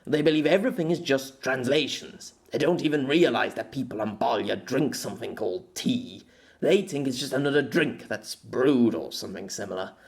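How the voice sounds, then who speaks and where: A man's voice: medium pitch, moderate pace, vocal fry, natural voice. A man voicing a weary, sarcastic male writer in an audiobook, dry and exasperated in manner. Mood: reluctant, explaining.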